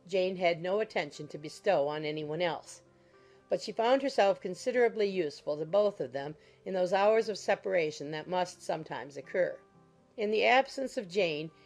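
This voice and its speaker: A woman's voice: fairly low pitch, a little mellow. A woman reading scripted narration of a classic novel as an audiobook narrator. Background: music.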